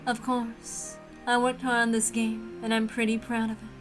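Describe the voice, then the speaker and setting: A woman's voice: on the high side, little pitch movement, moderate pace, over music, close to an everyday voice, low-quality recording. A woman voicing a proud, cold witch in a visual novel, curt and dismissive. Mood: cool.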